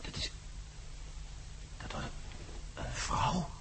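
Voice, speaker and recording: Radio drama sidekick: anxious, cautious man, tense sharp voice, faint noise behind. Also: drawn-out words. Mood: shocked, hushed. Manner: agitated, stammering in alarm. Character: nervous, cautious male companion, easily appalled. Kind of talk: Dutch radio play acting.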